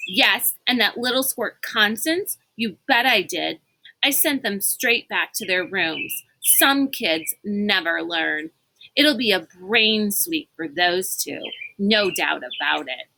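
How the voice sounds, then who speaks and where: A woman's voice: medium pitch, lively intonation, slow pace, creaky, bright, forceful tone, exaggerated voice, rough recording. A woman voicing a smug, callous girl guard in a storybook, with a dismissive, scornful manner.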